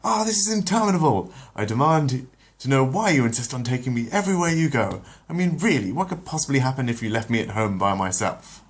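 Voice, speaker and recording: A man's voice: medium pitch, animated, fairly quick, few pauses, pitched above the performer's own voice, lightly acted. A man voicing a pompous, imperious baby boy who speaks in haughty, lofty wording. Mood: indignant.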